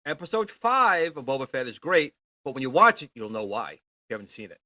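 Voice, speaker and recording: A man's voice: medium pitch, big pitch swings, a little fast, bright, forceful tone. A man co-hosting in casual banter, teasing and needling the people he talks with. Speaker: co-host.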